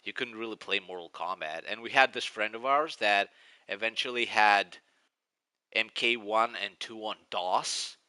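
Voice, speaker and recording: A man's voice: fairly low pitch, measured pace, pushed and bright, clean tone, no echo, poor audio. A man in his forties with a Greek accent, rambling and nostalgic as he chats casually on a live stream. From Greece. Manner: rambling, nostalgic, restarts often.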